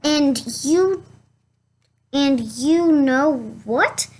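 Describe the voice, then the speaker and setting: A woman voicing a chatty, defensive male wolf from a children's storybook, with halting, protesting delivery. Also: high voice, animated, full character voice. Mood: self-excusing. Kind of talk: children's storybook read-aloud in first person.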